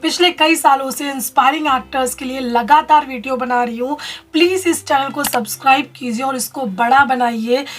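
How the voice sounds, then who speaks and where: Woman, high voice, vlog host, explanatory, direct address to viewers, advice-giving. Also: fairly bright tone. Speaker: casting director and video host. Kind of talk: advice vlog talking to camera.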